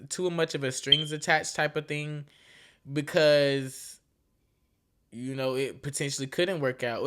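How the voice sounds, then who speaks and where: A woman's voice: low-pitched, fairly bright tone. Young woman, twenties, solo podcast host: casual, profane, slangy and rambling. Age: 20s. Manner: slangy, frank and profane, with frequent "you feel me" asides; loose and rambling, with restarts.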